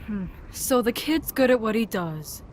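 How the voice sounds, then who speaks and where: Casting: actress for sensible, outspoken female scientist, slightly high pitch, natural drama delivery. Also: animated, unhurried, darkish tone, slight background noise. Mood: challenging. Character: level-headed, outspoken woman virology scientist. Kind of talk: natural audio drama acting.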